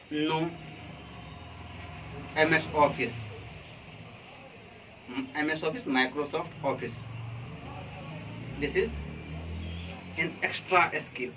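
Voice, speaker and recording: A man with an Indian accent teaches a classroom lesson at an unhurried, measured pace, pausing to check in with the class. His voice sits a bit high for a man. He is picked up from some distance, with a faint hum of room ambience behind him. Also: bright, forceful tone. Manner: slow and deliberate, keeps checking in with "okay?". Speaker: college teacher. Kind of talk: classroom lesson on letter writing.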